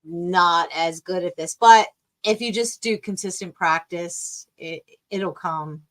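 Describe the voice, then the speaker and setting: A woman's voice: lowish voice, takes it slowly, a bit bright. A woman hosting a podcast, chatting casually and encouragingly with her guest. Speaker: podcast host. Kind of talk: casual conversation.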